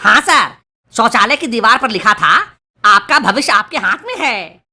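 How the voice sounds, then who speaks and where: A woman's voice: a little high, animated, unhurried, sharp tone, exaggerated voice. A woman voicing a brash, mocking schoolboy prankster in a comedy cartoon. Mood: brash, smug. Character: cheeky schoolboy prankster who teases his teacher. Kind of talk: Hindi comedy cartoon dialogue.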